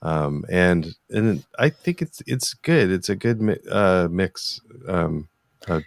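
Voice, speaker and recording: Low-pitched man, halting casual interviewer. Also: expressive, creaky, no echo, studio quality. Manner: halting, often hesitant phrasing. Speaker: interviewer, podcast host.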